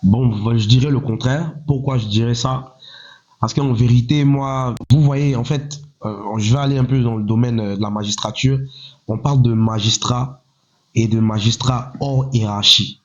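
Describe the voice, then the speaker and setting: A man in his thirties from Côte d'Ivoire, a rapper speaking in a measured, self-assured way, often pausing on "voilà" and asking himself rhetorical questions. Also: mid-range voice, fairly even intonation. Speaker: rapper, interview guest. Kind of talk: casual interview talk. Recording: slightly muffled, lo-fi sound.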